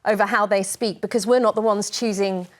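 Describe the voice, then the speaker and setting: A woman's voice: mid-range voice, fairly even intonation, fairly quick. A woman, a studio guest, arguing her point firmly in a debate. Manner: firm, argumentative. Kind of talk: debate commentary.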